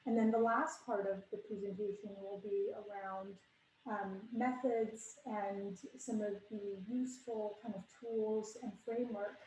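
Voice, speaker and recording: A young woman from Canada walks an online audience through her slides, speaking casually and stopping often for fillers and restarts. Her voice sounds mellow and a little dark. It is picked up by a computer mic in an echoey room with a faint hum behind it. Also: medium pitch, steady tone, unhurried. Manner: many fillers and restarts, conversational. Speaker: conference speaker. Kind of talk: online conference presentation.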